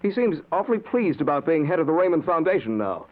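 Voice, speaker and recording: A man's voice: a little high, big pitch swings, fast. Defiant young man, tinny old radio. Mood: defiant, accusing. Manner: accusing, direct. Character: suspicious, defiant college-age son. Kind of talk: 1940s radio drama acting.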